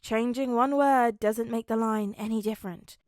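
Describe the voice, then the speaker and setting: A woman voicing a dry, awkward teenage son with a flat, hesitant delivery in a story reading. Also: a little high, normal speed, no echo, close to an everyday voice.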